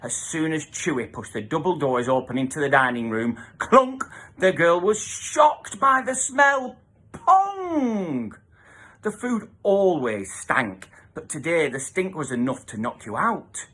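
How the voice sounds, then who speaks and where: A man's voice: a little high, very animated, slow pace, fairly bright tone. A man reading a children's story aloud as a theatrical narrator, with emphatic sound-effect words. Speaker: storybook reader.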